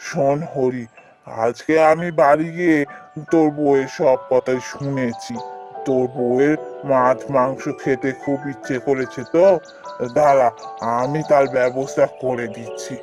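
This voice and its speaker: A man voicing an earnest, kindly village gentleman in a cartoon fairy tale, speaking familiarly like a patron to a junior. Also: medium pitch, steady tone, unhurried, music in the background, natural voice. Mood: generous, earnest.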